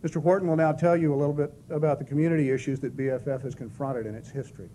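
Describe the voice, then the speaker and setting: A man with a non-Southern accent gives a formal presentation, reading figures in a steady, measured way. His voice sits somewhat high for a man. A faint noise runs under him. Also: poor audio. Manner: measured, reads statistics steadily. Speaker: director of corrections presenting a program. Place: hall at a press club.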